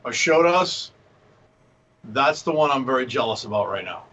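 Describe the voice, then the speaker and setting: A man's voice: medium pitch, animated, normal speed, fairly bright tone. A man with a Boston accent chatting casually on a panel, enthusiastic and joking. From Massachusetts, United States. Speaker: panelist, synth musician. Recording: dull lo-fi call, audible background noise.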